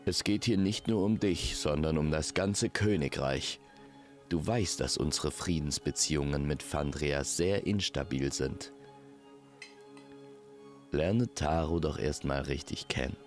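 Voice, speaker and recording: A man's voice: very deep voice, a little slow, faint music behind. A man voicing a stern, authoritative middle-aged king and father in a storybook audio tale. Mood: admonishing. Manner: authoritative, admonishing.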